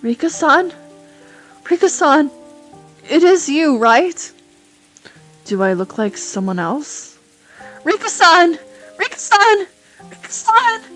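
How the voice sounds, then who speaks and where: A woman's voice: extremely high pitch, sing-song, takes it slowly, pauses often, a bit bright, soft background music, exaggerated voice, low-quality recording. A woman voicing a grief-crazed teenage girl, shrieking and sobbing in repetitions. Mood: tearful relief.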